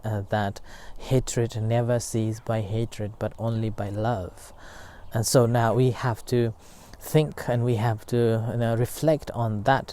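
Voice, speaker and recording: A middle-aged man speaks as a meditation teacher in a warm, mellow voice. His comes slowly, full of pauses and restarts, as he reflects aloud. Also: medium pitch. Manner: halting, many fillers and restarts. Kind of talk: unscripted dharma talk.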